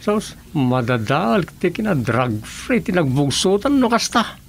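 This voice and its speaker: An actor playing an earnest, deferential village official in a comedy radio drama, with an Ilocano Filipino accent. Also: male voice, on the high side, very animated, a little slow, creaky, loud music behind the voice, heavily acted. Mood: worried.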